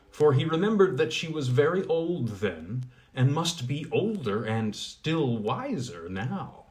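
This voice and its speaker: Guy reading an old fairy tale out loud, lively up-and-down voice, chatty ums between chapters. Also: medium pitch. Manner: chatty with fillers in recap, measured when reading. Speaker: reader. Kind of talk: casual recap, then reading a fairy tale aloud.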